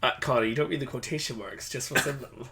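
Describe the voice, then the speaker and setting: A man's voice: mid-range voice, fast talker, bright, forceful tone, slight background noise. A man co-hosting a let's play, reading a visual novel aloud with sarcastic, jokey asides. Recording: music playing behind the voice.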